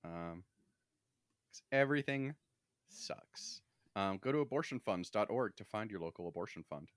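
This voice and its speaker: Male podcast host, animated and bright, low-pitched, dry close sound. Wry, sweary, casual. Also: normal speed, no room sound. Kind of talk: casual banter.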